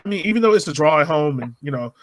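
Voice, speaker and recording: A man hosting a sports podcast, chatting casually and colloquially about soccer in an animated, joking way. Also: on the high side, rapid-fire. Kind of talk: casual soccer game discussion.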